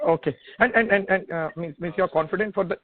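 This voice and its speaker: A man with an Indian accent asking questions as an analyst on a conference call, halting and repeating his words over a phone line. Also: high voice. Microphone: telephone conference call. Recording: tinny narrowband phone line.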